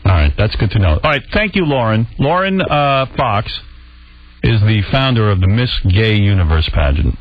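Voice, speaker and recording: Low husky male radio host, lively. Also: normal speed, dry recording. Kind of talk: casual talk radio chat. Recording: dull lo-fi radio recording.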